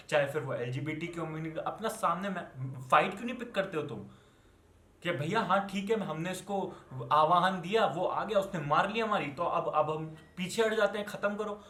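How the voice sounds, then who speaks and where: Young male ranter, Indian accent, raised pitch, combative and sweary, fires questions at the listener, reverberant room sound. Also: bright, forceful tone. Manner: scolding, confrontational, swearing, rhetorical questions; switches between Hindi and English. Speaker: online commentator. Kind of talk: angry unscripted rant to viewers.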